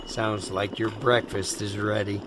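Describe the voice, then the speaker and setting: A low male voice from an actor plays an authoritative contract boss in an audio drama. He speaks slowly and in a businesslike way. Noisy rumbling ambience runs behind him. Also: level tone, close to an everyday voice. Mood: dry. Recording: clear rumbling background ambience noise.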